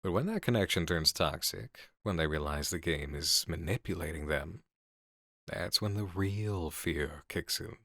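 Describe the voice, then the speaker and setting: A man's voice: sits low, a bit bright, no echo, studio quality. A man playing an intense, assured horror game developer who muses intimately and philosophically in an ASMR roleplay.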